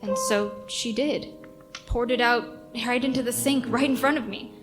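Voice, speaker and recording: A woman's voice: fairly high pitch, moderate pace, natural voice. A woman acting a remorseful, anxious male radio staffer who speaks hesitantly and earnestly in a radio play. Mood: regretful. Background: music.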